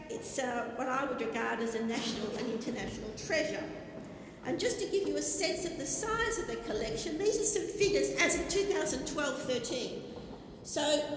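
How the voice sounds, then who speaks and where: A woman with a squeaky voice giving a conference presentation as a business librarian, enthusiastic and self-deprecating, with frequent ums. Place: large lecture hall. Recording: echoey hall, faint noise.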